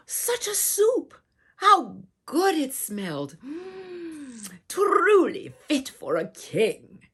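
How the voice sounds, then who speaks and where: A woman performing a children's storybook in a theatrical, dramatic way, with hushed asides and doting warmth toward her listeners. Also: extremely high pitch, very animated, very slow. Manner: addresses listeners with doting endearments. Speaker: storybook reader and host.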